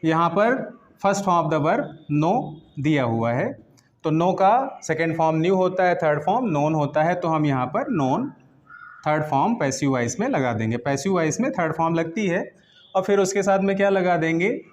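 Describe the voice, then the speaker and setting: A man with a fairly high voice and an Indian accent speaks as a grammar teacher. He explains step by step, repeats key phrases and poses short questions before answering them. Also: animated, moderate pace. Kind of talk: classroom lesson, explaining step by step in Hindi mixed with English terms.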